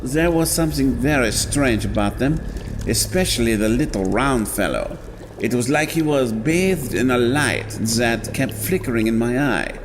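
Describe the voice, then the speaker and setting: An actor playing a proud, suspicious gentleman in an audio drama, formal and emphatic, quick to take offence. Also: medium pitch, animated, music in the background, lightly acted. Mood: uneasy insistence.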